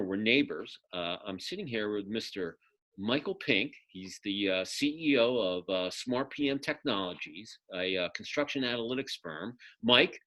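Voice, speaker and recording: Chatty low-pitched male podcast host. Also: pushed and bright. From Philadelphia, United States. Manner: chatty, informal, rambling. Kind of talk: casual conversational interview introduction.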